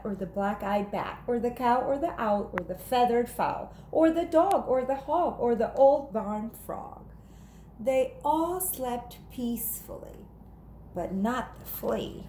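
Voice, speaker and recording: Female teacher, higher voice, unhurried and expressive storybook read-aloud for young children, faint noise behind. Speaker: teacher reading to second graders.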